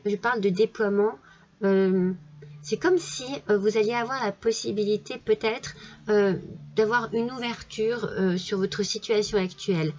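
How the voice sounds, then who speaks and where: A woman with a cold giving a tarot reading in a gentle, soothing way, with many fillers and restarts, in a French accent. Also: medium pitch, muffled recording. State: has a cold. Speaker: tarot card reader. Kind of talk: casual tarot reading monologue.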